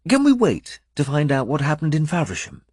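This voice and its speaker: Man putting on a high, anxious, proud royal lady. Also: huge pitch swings, a little fast, a little mellow, breathy edge, dry recording, clean studio sound, heavily acted. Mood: pleading.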